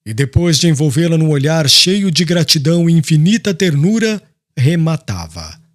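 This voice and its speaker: A man narrating a literary audiobook in a solemn, dramatic and expressive style. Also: a little high, moderate pace. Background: music with woodwind.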